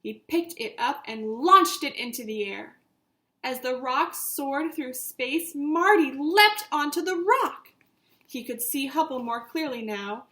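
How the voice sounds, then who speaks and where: A woman reading a children's story aloud in an animated, deliberate teacher's way, addressing her young listeners directly. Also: sits high.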